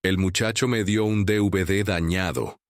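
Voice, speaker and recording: A man's voice: low voice, steady tone, normal speed, no room sound, clean studio sound, voice pushed up. A man putting on a curt, temperamental doctor. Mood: irritable. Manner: curt and impatient. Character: irritable, temperamental wealthy male doctor.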